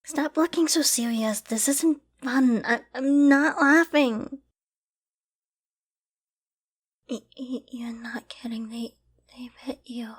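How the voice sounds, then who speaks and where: Actress as a clingy, high-voiced apocalypse survivor woman. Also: breathy edge. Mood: tearful disbelief. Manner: emotional, halting and stammering, often dropping to whispers and sighs. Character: clingy, secretly obsessive young woman surviving a zombie apocalypse. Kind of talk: dramatic ASMR roleplay audio acting.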